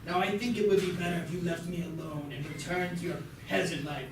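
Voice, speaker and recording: A man playing a greedy, pompous, tongue-tripping dark lord emperor in an amateur comic puppet show. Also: high-pitched, fairly even intonation, a little fast. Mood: pompous, flustered. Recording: echoey room, far mic, loud noise.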